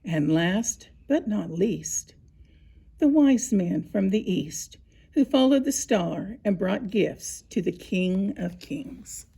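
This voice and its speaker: A woman narrating a scripted children's story, with expressive, deliberate phrasing and dramatic pauses. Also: mid-range voice, vocal fry, breathy edge. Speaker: storybook reader.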